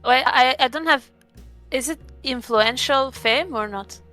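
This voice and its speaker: A woman's voice: high voice, a little slow, sharp tone, faint music behind. A woman chatting casually as a player at a tabletop roleplaying game, often questioning.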